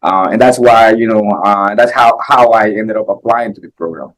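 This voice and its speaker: Guy from guatemala, kinda low voice, lots of uhs and restarts, casual interview talk. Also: rough recording. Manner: halting, many fillers and restarts. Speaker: assistant professor interviewee.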